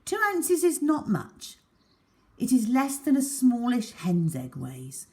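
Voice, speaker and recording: A woman reading a children's story aloud as a storyteller, deliberate and dramatic in her delivery. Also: a little high, huge pitch swings, mellow.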